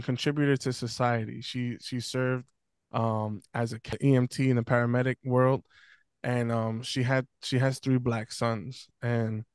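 A man's voice: mid-range voice, clean tone, no echo. A young man on a video call, speaking earnestly into a close desk mic, with many fillers and restarts. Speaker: podcast guest speaker. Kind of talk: casual panel discussion. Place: small room at home, video call. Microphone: close desk mic on stand.

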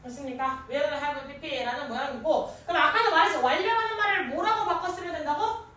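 A woman in her thirties teaching a grammar class in an animated, informal way, often quizzing students with questions. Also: sits high, moderate pace. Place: indoor classroom at a chalkboard. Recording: echoey classroom from a distance, faint noise.

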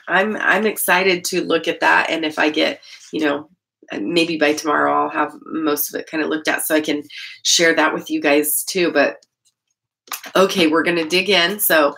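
A middle-aged American woman with a deep voice, talking in a chatty, rambling way like a casual devotional vlogger. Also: steady tone, a little slow, gravelly, fairly bright tone. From Idaho, United States. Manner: rambling, chatty, often breaking off and restarting sentences. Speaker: host of a Bible-reading channel. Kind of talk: casual devotional vlog chat. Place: small indoor room at home.

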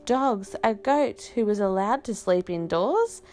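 A woman reading a children's picture book aloud with expressive, careful, unhurried phrasing and dramatic pauses. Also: mid-range voice, faint music behind.

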